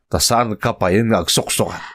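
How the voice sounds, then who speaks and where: A man's voice: lowish voice, brisk, fairly bright tone. An actor playing a menacing, mocking captor who bullies his victim in an Ilocano radio drama. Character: menacing, mocking male captor demanding repayment.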